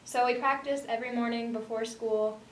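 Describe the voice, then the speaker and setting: Teen female student interviewee, casual delivery, fairly high voice, picked up at a distance. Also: steady tone, brisk. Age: teenager. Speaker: student actor being interviewed. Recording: roomy, distant mic, faint noise.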